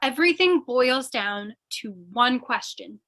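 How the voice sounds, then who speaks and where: A woman's voice: high voice, animated, unhurried, fairly bright tone, exaggerated voice. A woman playing a plucky, scatterbrained young newspaper reporter in an old-time radio comedy mystery, flustered and eager. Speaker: voice actor.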